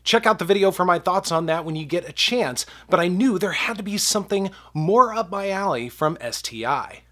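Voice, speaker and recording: A man's voice: high voice, expressive, normal speed, fairly bright tone. A man with a stuffed-up voice from a cold, hosting a casual gun review and chatting with viewers as "guys.". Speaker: gun review channel host. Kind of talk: casual product review talk to camera.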